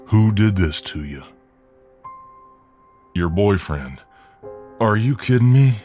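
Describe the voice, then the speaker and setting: A man's voice: on the low side, expressive, slow pace, mellow, soft background music. A man acting out a friendly, protective, cocky Southern US mailman in an ASMR role-play, with a folksy, soothing drawl. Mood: indignant.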